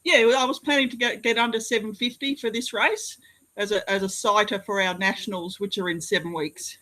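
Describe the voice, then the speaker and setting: Bright Australian woman athlete. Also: mid-range voice, brisk. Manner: relaxed, modest, hesitant with fillers. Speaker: athlete interviewee. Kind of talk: interview answers.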